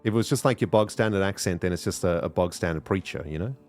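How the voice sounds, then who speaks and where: Man, South African accent, fairly deep voice, casual rambling gameplay commentary, quiet music underneath. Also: fast talker. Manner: chatty, rambling, talks to chat. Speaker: streamer.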